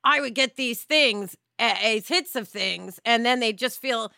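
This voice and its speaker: A woman with a fairly high, very bright voice talks as a podcast co-host. She is middle-aged, animated and chatty, leaning on her points with emphasis. The sound is close and dry. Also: unhurried, gravelly, clean tone, no echo. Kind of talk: casual podcast discussion.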